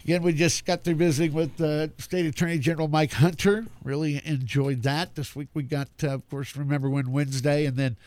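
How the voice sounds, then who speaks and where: Man, radio host, folksy news reading, slightly high voice, dry close mic. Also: fairly even intonation, brisk, few pauses, darkish tone, no echo. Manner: folksy, chatty, stumbles over names and words while reading.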